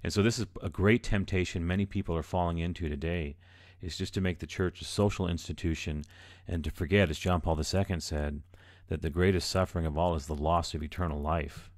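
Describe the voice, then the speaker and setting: A priest giving a teaching, reading passages aloud and then explaining them in plain, unscripted words. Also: male voice, deep voice, steady tone, fairly quick, no echo, studio quality.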